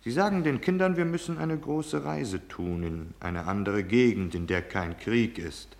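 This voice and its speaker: An actor in a German radio drama playing a cold, domineering officer, curt, impatient and cynical in giving orders. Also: male voice, fairly low pitch, lively intonation, unhurried, creaky. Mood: barking impatient orders. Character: cold, domineering military officer delivering deadly orders, male.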